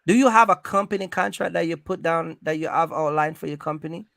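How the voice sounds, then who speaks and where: A man hosting an animated advisory conversation about business, speaking emphatically, repeating himself and often checking in with questions. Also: fairly high pitch, fairly quick, vocal fry, dry recording, clean studio sound. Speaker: host giving business advice to truck owners.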